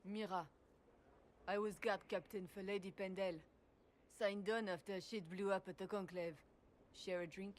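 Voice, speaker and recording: A woman's voice: mid-range voice, steady tone, normal speed, a little hiss or hum, lightly acted. A woman playing an earnest, devout former guard captain in a video game, speaking plainly. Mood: friendly. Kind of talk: scripted video game dialogue.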